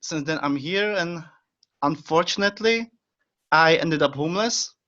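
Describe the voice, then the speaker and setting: A man's voice: on the high side, normal speed, bright, forceful tone. A young man from the Czech Republic with a Czech accent, speaking English as a second language as an eager podcast guest, full of fillers and short laughs. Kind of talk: casual interview chat. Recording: dull lo-fi sound.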